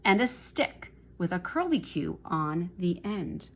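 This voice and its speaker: A woman's voice: lowish voice, sing-song, slow pace, vocal fry, heavily acted. A woman voicing a thin, wispy female spider from a children's storybook, kindly and clever as she gives advice. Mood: instructive.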